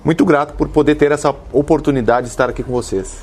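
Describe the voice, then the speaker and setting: A man's voice: on the high side, quick pace, darkish tone. A man in his thirties with a Gaúcho Brazilian accent, chatting as an interview guest, with many restarts and tag questions. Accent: Gaúcho Brazilian Portuguese. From Rio Grande do Sul, Brazil. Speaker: interview guest, motivational speaker. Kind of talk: casual interview conversation.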